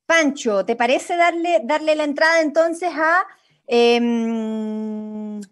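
Young chilean lady leading a workshop on a video call, really high voice, encouraging. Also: slow pace, fairly bright tone. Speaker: radio theatre workshop leader. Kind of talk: informal guiding and instruction. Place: indoors at home, on a video call.

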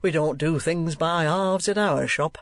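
A man's voice: on the high side, measured pace, no room sound. A man voicing a boastful, hypocritical middle-aged schoolmaster with pompous, oily sales patter in an audiobook.

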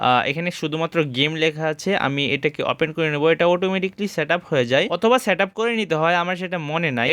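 A man's voice: a little high, bright, forceful tone, clean tone, no room sound. A man with a Bangladeshi Bengali accent narrating a casual how-to gaming tutorial, addressing viewers in an instructional way.

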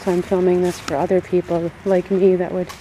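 A woman's voice: fairly low pitch, little pitch movement, fairly quick, mellow. Chatty middle-aged woman, noisy vlog audio. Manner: chatty, musing aloud, full of wonder. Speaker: rideshare driver and vlogger. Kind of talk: casual off-the-cuff vlog narration. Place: moving car with windows down, later open barn. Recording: noisy handheld camera audio with car and wind.

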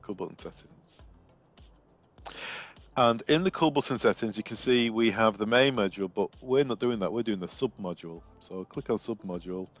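A man's voice: fairly low pitch, normal speed, highs cut off, faint music behind. A man working as a technical trainer, narrating a screen demo in an informal, step-by-step way.

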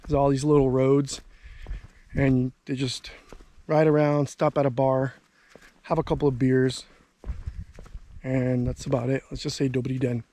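A man's voice: mid-range voice, little pitch movement, measured pace, long pauses, mellow. A man in his thirties casually vlogging outdoors while walking along a country road, rambling and thinking aloud. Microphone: handheld camera at arm's length.

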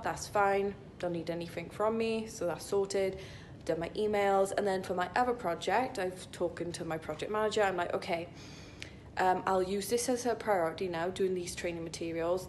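A woman's voice: on the low side, darkish tone, a little hiss or hum. A young woman talking in a casual, chatty, rambling vlog style into a phone held at arm's length, peppering her speech with "like". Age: young adult. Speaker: vlogger, IT consultant. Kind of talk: casual vlog chat to camera. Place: indoor gym locker room.

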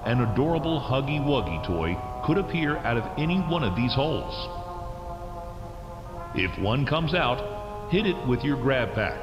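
A man playing a formal factory test announcer, giving measured, precise scripted instructions in a video game. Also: medium pitch, big pitch swings. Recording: echoey hall reverb, music behind.

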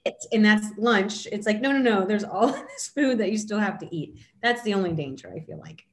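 A middle-aged woman hosting a casual video call chat, talking in a chatty, animated way with lots of asides. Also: medium pitch, a little fast. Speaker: host. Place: home room indoors. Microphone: video call, webcam.

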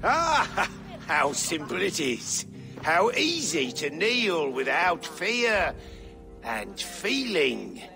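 A gravelly deposed old king. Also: a little high, sing-song, extremely slow, over music, full character voice. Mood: mocking. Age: older. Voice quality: gravelly, theatrical. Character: deposed proud old male king, mocking then begging. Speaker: game character.

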